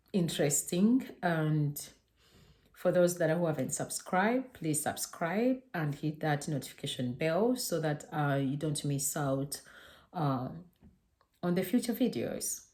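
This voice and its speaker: A young woman from Uganda chats to her camera in a low, warm, mellow voice. She talks hesitantly, with frequent fillers and restarts. It sounds like a casual vlog recorded indoors at home. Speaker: vlogger.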